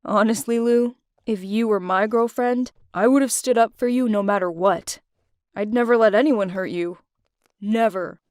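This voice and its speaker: A woman voicing a caring young man who speaks softly and reassuringly. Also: medium pitch, normal speed, no echo, clean studio sound, voice pushed down, lightly acted. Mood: gentle. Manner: soft, reassuring.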